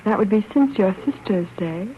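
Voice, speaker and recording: An actress playing a composed, solemn girl of about fifteen, polite and measured, in a 1930s radio drama. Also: mid-range voice, expressive, close to an everyday voice. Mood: solemn, hushed. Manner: polite, measured, self-possessed. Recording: tinny, noisy old radio broadcast.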